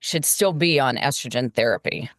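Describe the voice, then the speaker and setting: A woman's voice: rumbling low, moderate pace, fairly bright tone, no room sound, studio quality. A middle-aged woman gynecologist answering interview questions in a confident, explanatory way. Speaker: gynecologist guest.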